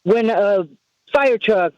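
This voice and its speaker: A woman with a deep voice calling a radio show to vent about drivers. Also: takes it slowly, dry recording. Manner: venting irritation. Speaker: caller. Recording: dull phone-line sound.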